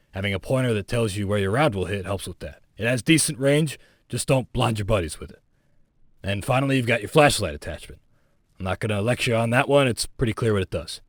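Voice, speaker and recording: An actor playing a gruff, casual military training instructor in a video game, conversational, wry and salty-mouthed. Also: male voice, lowish voice, no echo, clean studio sound. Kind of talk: video game tutorial dialogue.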